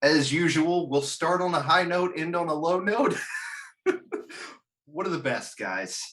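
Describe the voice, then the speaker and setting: Joking man hosting a lively casual chat. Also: a little high. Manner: joking, quick to laugh. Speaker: host of a gaming chat show.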